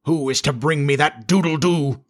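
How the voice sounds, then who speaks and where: A man's voice: on the high side, unhurried, bright, forceful tone, breathy edge, voice pushed up, exaggerated voice. Man playing a theatrical, menacing pirate captain. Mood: commanding, angry. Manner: brazen, commanding, purring menace. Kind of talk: audiobook character voice.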